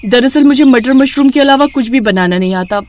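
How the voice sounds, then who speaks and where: A woman's voice: sits high, a little fast, darkish tone, voice pushed up, natural voice. A woman voicing a sweet young bride in a cartoon story, earnest, polite and anxious to please. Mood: apologetic.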